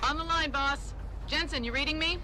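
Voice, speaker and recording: An actress playing a brisk, friendly pilot in a video game, talking in breezy radio patter. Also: female voice, high-pitched, background noise, lightly acted. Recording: music behind the voice.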